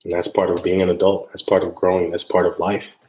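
A man speaks in a deep, level voice with little rise and fall, hosting his own podcast. He talks earnestly, circling back over his phrases and tagging them with "right?". The room around him sounds echoey. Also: completely flat delivery, fairly quick, a little mellow. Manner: earnest, repeats phrases for emphasis; frequent tag "right?". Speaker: podcast host. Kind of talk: solo podcast monologue.

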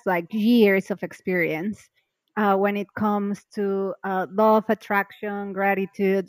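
Woman podcast host, upbeat and talkative, quick to laugh; dry, close recording. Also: medium pitch, no echo. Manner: bubbly, chatty, laughs often. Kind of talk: casual podcast interview.

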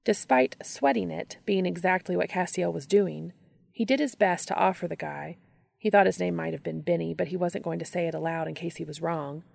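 A woman hosting and narrating fan fiction in a scripted, expressive storytelling read. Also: on the low side, creaky, dry recording, clean studio sound. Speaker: podcast host and reader.

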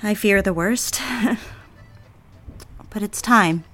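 A woman's voice: mid-range voice, slow, fairly bright tone. Actress as a coy, lovestruck young woman over music. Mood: wistful, confiding. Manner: coy, teasing, girlish sophistication. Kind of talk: audio drama romantic phone dialogue.

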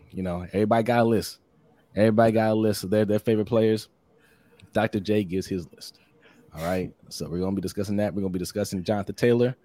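A hoarse man hosting a casual live-streamed sports podcast, upbeat and repetitive, reading out viewer comments and giving shout-outs. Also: fairly low pitch, dry recording. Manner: upbeat, repeats phrases, many shout-outs. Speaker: podcast host.

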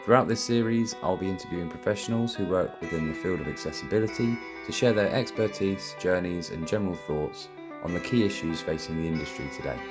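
A man's voice: low-pitched, fairly quick, music in the background. A man hosting a podcast, friendly with many ums as he interviews a guest. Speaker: podcast host. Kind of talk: scripted podcast intro and interviewing.

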